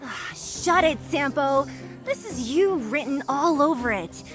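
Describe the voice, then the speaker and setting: A young female fighter from an underground turf, pitched high and swinging boldly up and down. She is blunt, scornful and quick to taunt, a video game brawler with music playing behind her. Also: lively intonation.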